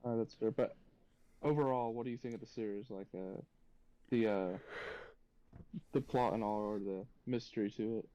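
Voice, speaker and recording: A man co-hosting a reaction podcast, chatting casually and thinking out loud in a tentative, musing way. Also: on the low side, moderate pace, long pauses, lo-fi sound.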